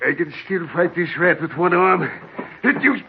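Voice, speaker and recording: An actor playing a jealous, vengeful old American desert prospector with a rustic Western drawl in a radio horror play. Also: male voice, high-pitched, lively intonation, moderate pace. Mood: furious. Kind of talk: 1940s radio horror acting. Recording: scratchy old radio recording, muffled, crackly.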